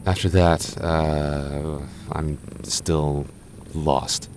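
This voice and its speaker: A soft-spoken young goth boyfriend, as a man plays him, deep and unhurried, who stammers a little while he reassures. The ASMR roleplay has a faint hiss under it. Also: flat delivery, heavily acted. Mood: puzzling. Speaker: voice actor.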